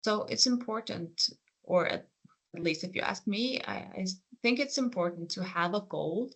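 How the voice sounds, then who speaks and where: A speaker from the Faroe Islands whose first language is Faroese, with a Faroese accent, answering career interview questions in an earnest, reflective, gently encouraging way. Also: medium pitch, moderate pace. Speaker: programme coordinator and PhD researcher.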